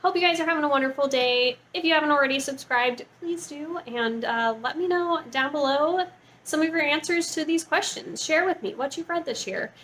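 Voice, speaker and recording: A woman with a fairly high voice talks casually, as a book vlogger would to her viewers. Her delivery is informal and gushing, sprinkled with "like". Some faint noise sits behind her voice. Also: moderate pace, fairly bright tone. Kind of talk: casual chatty book review to camera.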